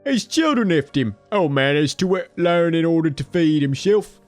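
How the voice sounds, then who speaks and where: A man's voice: a little high, expressive, moderate pace, faint music behind, voice pushed up, natural voice. A man voicing a friendly undead skeleton laborer who talks in a folksy, slangy, rambling way.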